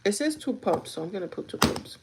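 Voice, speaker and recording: A young woman with a gravelly, groggy voice chats casually and directly to her viewers, giving advice in an informal vlog style. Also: mid-range voice, big pitch swings, brisk, heavy background noise. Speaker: vlogger chatting while doing makeup.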